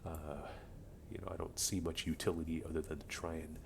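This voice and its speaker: A man with a voice slightly off from a cold, casually commentating a strategy game replay, relaxed and rambling with many ums. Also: very deep voice, a little fast, vocal fry, background noise. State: getting over a cold. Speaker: game caster. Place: home room with a buzzing light.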